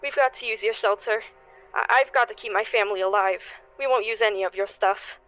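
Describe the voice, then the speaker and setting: A woman playing a desperate, pleading neighbour and parent in a radio play, with halting, stammering delivery. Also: medium pitch, a bit bright, natural voice, low-quality recording. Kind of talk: dramatic radio play acting.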